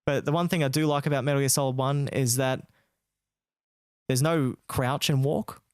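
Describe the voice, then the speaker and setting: A man's voice: medium pitch, fairly even intonation, moderate pace, clean tone, dry recording, studio quality. An Australian man co-hosting a podcast, chatting about games in a laid-back, slangy way. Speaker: podcast co-host. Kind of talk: casual gaming chat.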